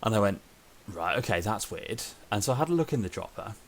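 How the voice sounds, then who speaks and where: Welsh podcast host, low voice, slight hiss, loose rambling delivery. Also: male voice, lively intonation, fast talker. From Wales. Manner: rambling storyteller, frequent ums. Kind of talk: casual podcast chat.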